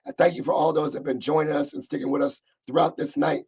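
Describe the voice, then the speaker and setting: Raspy, gravelly male host on a video call, upbeat and rambling, slightly high voice. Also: level tone, quick pace, darkish tone. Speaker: podcast host. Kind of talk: casual chat.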